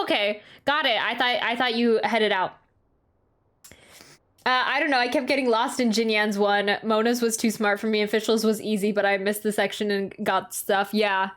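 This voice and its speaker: Female game streamer, higher-pitched, casual reaction chat, loose and halting phrasing. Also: a bit bright. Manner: informal, rambling, halting.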